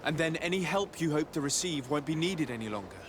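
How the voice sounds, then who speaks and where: A young gentleman diplomat from a video game cutscene , acted by a man, with a slightly raised pitch. He is composed and resolute, choosing formal, courteous words. A little background noise sits under the voice. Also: animated, lightly acted. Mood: earnest, resolute. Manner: formal, measured, polite. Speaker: diplomat character.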